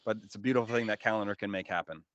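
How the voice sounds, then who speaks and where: A man's voice: fairly low pitch, rapid-fire, fairly bright tone, no room sound, muffled recording. A man in his thirties explaining conversationally as a panel guest, on a close desk microphone. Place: small studio room with acoustic foam.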